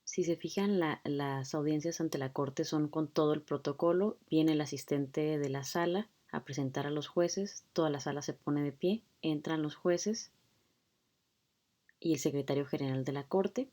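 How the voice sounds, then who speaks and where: Low-pitched lady teacher walking students through a court hearing clip, chatty, lots of eh's. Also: moderate pace, warm tone. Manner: conversational, with fillers like "eh" and "¿no?". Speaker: law lecturer. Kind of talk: explaining a court hearing video.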